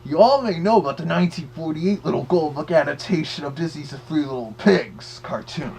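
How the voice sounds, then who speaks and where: A man's voice: on the high side, fairly quick, gravelly, a little mellow, a little hiss or hum. A man voicing a cartoon Big Bad Wolf who gives chatty, slightly hesitant commentary as a record reviewer. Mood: chatty, informative.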